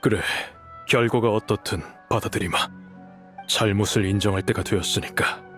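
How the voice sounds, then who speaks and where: Older merchant patriarch for a game played by a man: low register, measured, gentle, remorseful, formal, light music bed. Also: fairly even intonation, lightly acted. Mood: grave, resigned. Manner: measured, formal elder speech. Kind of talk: dubbed video game acting.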